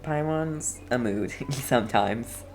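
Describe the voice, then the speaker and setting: Slow-paced, casual game streamer over music. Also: mid-range voice. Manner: chatty, casual, lightly teasing. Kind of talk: casual livestream gameplay commentary.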